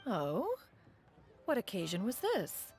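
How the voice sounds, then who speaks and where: A woman's voice: mid-range voice, wildly swooping pitch, slow pace, a little mellow, exaggerated voice. A woman playing a proud, imperious immortal master in a video game, speaking in a pompous, archaic manner. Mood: haughty. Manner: pompous, archaic, calls herself "one". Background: clear music.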